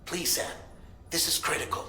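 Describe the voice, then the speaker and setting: An actor playing an earnest operations officer in a video game, briefing a courier in a measured, articulate way. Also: male voice, heavy background noise, natural voice. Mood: urgent.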